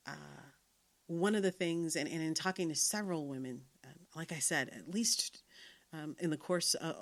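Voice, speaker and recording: A middle-aged woman hosting a podcast, talking earnestly in a reflective monologue with many fillers and restarts. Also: low voice. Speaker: podcast host.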